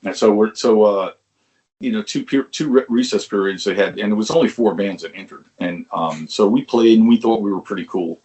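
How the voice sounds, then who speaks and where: Low-voiced older New man reminiscing. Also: a little fast, darkish tone. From Long Island, New York. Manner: rambling, frequent restarts, swears casually, chuckles. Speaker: musician guest. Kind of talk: conversational interview storytelling.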